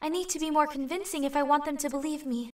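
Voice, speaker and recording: Earnest teenage girl under suspicion played by a woman, high-pitched, anime dub, a little off the mic. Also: fast talker, far from the mic, natural voice. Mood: resolved. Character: earnest, determined teenage girl student on trial. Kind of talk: anime fan dub acting.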